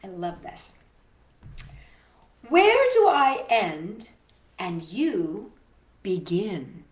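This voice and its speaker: A woman hosting a storytime for young children, reading and singing along in an animated, encouraging way and addressing her listeners directly. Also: medium pitch, extremely slow, roomy sound. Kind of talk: read-aloud and sing-along for young children.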